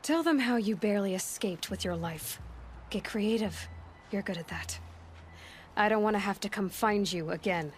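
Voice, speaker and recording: An actress playing a tough, self-assured young huntress in a video game, speaking in a dry, sardonic way. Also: female voice, medium pitch, moderate pace, slight background noise, natural voice. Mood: cool warning. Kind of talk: cinematic game dialogue.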